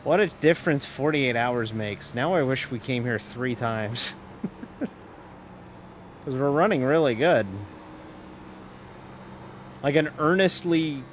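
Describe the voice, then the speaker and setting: A man at a home streaming setup, talking to his viewers while he races. His voice sits at a middle pitch and he rambles loosely, trailing off and circling back. A faint hum runs underneath. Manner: rambling, self-interrupting, quick to laugh. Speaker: sim racing streamer. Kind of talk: casual live-stream chat while racing.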